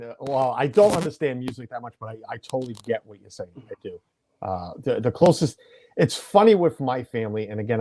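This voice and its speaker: Chatty, rambling American man with a mellow voice. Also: medium pitch, slight background noise. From United States. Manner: chatty, rambling, self-correcting. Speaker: podcast host. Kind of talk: casual video chat interview.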